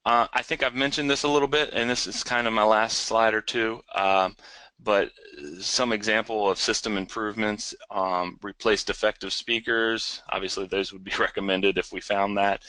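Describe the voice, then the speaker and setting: Low, bright-voiced man presenting haltingly. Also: fairly even intonation, dry recording, low-quality recording. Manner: hesitant, halting delivery with repeated words. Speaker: webinar presenter. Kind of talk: unscripted technical presentation.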